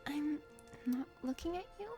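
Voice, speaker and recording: A woman's voice: high-pitched, expressive, normal speed, pitched below the performer's own voice. A woman voicing a plucky young female bodyguard and adventurer in a visual novel, casual, wry and teasing. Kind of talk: visual novel character voice-over. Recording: music under the voice.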